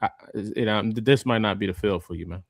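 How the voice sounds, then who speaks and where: A man's voice: fairly low pitch, brisk, no room sound. A man co-hosting a podcast, laid-back and joking, full of slang. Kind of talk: casual podcast chat.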